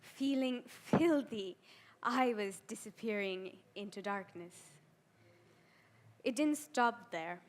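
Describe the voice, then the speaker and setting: A woman performing a dramatic stage monologue as a frightened, ashamed adolescent girl, deliberate and full of heavy pauses. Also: medium pitch, lightly acted. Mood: fearful, bitter. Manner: slow, deliberate, with heavy pauses and swelling emphasis.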